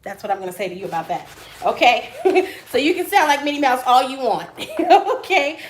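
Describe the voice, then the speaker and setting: A woman with a notably high, bright voice hosts a live stream from her print shop office. She talks loudly and animatedly and breaks into big laughs between her answers to viewers. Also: big pitch swings, moderate pace, slight background noise. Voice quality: high voice she compares to a cartoon mouse. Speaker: small business owner and channel host.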